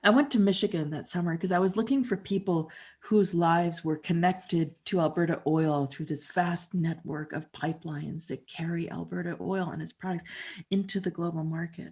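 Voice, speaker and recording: A woman in her fifties from Canada, performing a conversational first-person monologue with dramatic pauses. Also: lowish voice, darkish tone, slightly rough. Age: 50s. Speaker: storyteller and musician.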